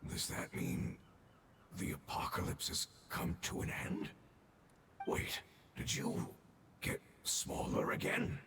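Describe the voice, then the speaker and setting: A man voicing an ancient, weary elemental creature for a game, with a slow, halting, solemn delivery. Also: roomy sound, far from the mic, noisy background, lightly acted. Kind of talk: English game dub acting.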